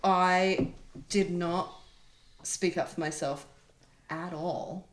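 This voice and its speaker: A woman's voice: on the low side, steady tone, very slow. A woman chatting casually as a producer in a round-table conversation. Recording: echoey room.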